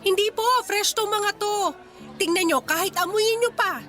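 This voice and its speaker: Bright, high-pitched scrappy young Filipina vendor. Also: female voice, moderate pace, faint music behind. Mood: eager, persuasive. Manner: pleading sales patter with teasing comebacks. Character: young female market fish vendor, scrappy, cheeky, persuasive. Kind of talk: radio drama acting.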